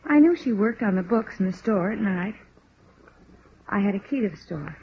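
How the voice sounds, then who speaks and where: An actress playing a resigned woman killer confessing in a halting, matter-of-fact way in a 1950s radio crime drama. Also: mid-range voice, lively intonation, natural voice. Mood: flat, resigned. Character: woman murderer flatly confessing, weary, resigned. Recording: muffled, noisy old radio recording.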